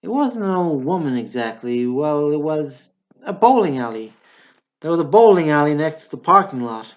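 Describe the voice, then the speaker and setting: A man's voice: a little high, very animated, normal speed. An actor playing an easygoing small-town drinker who rambles matter-of-factly through his stories, in an amateur radio play. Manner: rambling, matter-of-fact storytelling. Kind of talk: amateur radio drama acting. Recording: dull lo-fi radio drama recording.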